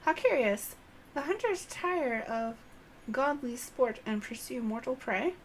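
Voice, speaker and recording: A woman voicing a courteous insectoid elder storyteller from a game, with formal, archaic, drawn-out phrasing. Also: high voice, expressive, slight background noise, pitched above the performer's own voice, rough recording. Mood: curious. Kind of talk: game dialogue read aloud.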